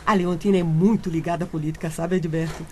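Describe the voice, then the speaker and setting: An actress with a Brazilian accent playing a meddling, teasing wife and matchmaker in a comic radio soap. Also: female voice, fairly low pitch, steady tone, quick pace, a little hiss or hum, natural voice. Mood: matchmaking. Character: meddling, teasing married woman, eager matchmaker.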